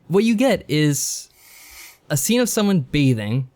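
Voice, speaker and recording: A man's voice: a little high, very animated, a little slow, a little mellow. A man co-hosting a podcast in casual review chat, with a wry, questioning, conversational manner. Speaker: podcast co-host. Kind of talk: casual review chat about visual novels.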